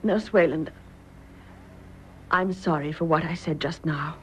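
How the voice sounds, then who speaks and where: An actress playing a proud, emotional young British wife in a radio drama, well-bred and expressive, with a breathy voice. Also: female voice, deep voice, measured pace, a little hiss or hum. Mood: contrite, gentle. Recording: dull, muffled radio drama recording.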